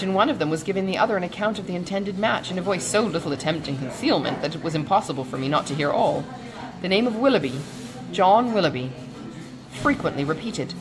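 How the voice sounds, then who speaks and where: A woman's voice: mid-range voice, lightly acted, poor audio. Audiobook part: woman playing honourable, grave colonel, hesitant and formal delivery, varied intonation, music underneath. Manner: halting, earnest, formal. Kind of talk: audiobook character dialogue.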